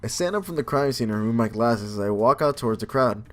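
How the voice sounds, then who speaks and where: A man's voice: mid-range voice, animated, fast, fairly bright tone. A man doing casual let's play commentary, reading game narration aloud and adding informal, joking asides. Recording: faint game music behind.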